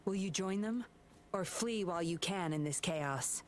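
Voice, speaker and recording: An actress voicing a sly, teasing young puppeteer woman in a game, low and smooth. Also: a little slow, a little mellow, slight background noise, lightly acted. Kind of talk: game voice acting.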